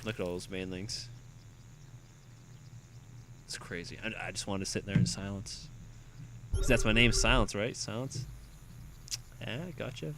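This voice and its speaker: Raspy-voiced young man casting a game. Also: lowish voice, unhurried, long pauses, very noisy. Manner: excitable, rising to shouts in big fights. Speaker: esports caster. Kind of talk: solo play-by-play game commentary.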